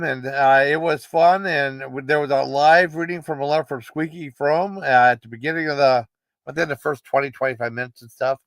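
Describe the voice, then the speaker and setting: A man's voice: a little high, smooth, dry recording. A man hosting a casual livestream, talking in a rambling, informal way with short repeated acknowledgments. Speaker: livestream host. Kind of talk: casual livestream chat and sign-off.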